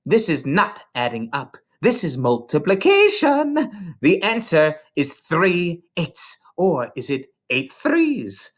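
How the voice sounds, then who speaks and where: A reader voicing a huge, bullying female headmistress who barks insults and shouts. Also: mid-range voice, big pitch swings, normal speed, pitched above the performer's own voice.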